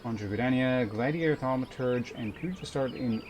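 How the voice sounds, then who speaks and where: A man's voice: medium pitch, moderate pace, a little mellow, slight background noise. A man livestreaming a game, chatting casually and thinking aloud in a rambling, sometimes profane way. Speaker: game streamer. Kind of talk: casual livestream chat while playing. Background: game music.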